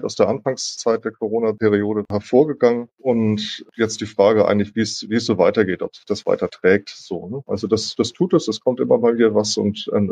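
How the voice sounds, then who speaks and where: A man's voice: on the low side, gravelly, a little mellow, breathy edge, no room sound. A man answering informally as an interview guest, in a rambling, self-deprecating way. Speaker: interview guest, digital humanities academic. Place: remote online call.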